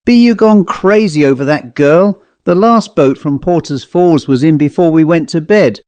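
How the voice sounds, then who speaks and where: Man doing stern old-time housewife in a story, guarded n curt, brushes stuff off, pitched up a bit, dry. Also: normal speed, darkish tone, no room sound, muffled recording, lightly acted. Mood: stern, scornful. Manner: curt, dismissive, evasive. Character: stern, guarded rural New England housewife. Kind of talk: dramatised storybook reading.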